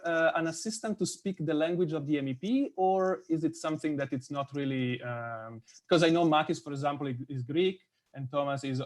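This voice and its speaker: A man co-hosting an online panel, asking a follow-up question while stumbling and repeating his words. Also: mid-range voice.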